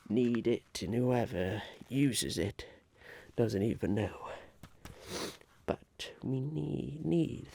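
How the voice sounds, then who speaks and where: A man playing a scheming, eccentric businessman in an ASMR roleplay, musing haltingly and repeating his phrases. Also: medium pitch, vocal fry, dark tone, exaggerated voice.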